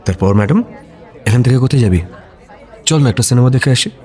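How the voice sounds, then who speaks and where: An actor playing a playful, pleading young husband in a radio romance, teasing and insistent in a conversational way. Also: male voice, mid-range voice, animated, fast talker, warm tone, a faint crowd, natural voice. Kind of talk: Bengali radio romance acting. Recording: music bed under the voice.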